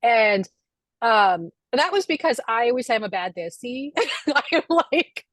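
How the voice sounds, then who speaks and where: An American woman author in casual conversation at a library event, chatty and animated, often laughing. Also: on the high side, unhurried, no room sound. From United States.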